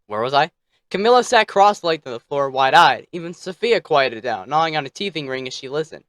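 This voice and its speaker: A man's voice: fairly high pitch, animated, normal speed, a bit bright, no room sound. Male storyteller, bedtime narration, theatrical delivery, playfully snippy asides, very dry acoustic.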